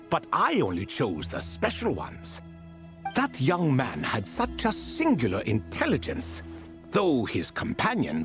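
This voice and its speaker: A man's voice: a little high, full character voice. An actor playing an ancient ibis king spirit in a video game, courteous and wistful, with a theatrical, leisurely storytelling manner. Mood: musing, amused.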